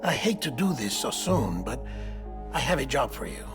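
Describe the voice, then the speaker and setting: An actor playing an eccentric, chatty middle-aged coroner in a video game cutscene, with theatrical, halting phrasing. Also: male voice, mid-range voice, sing-song, music in the background, lightly acted. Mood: earnest, persuasive. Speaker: doctor and former coroner in a game story.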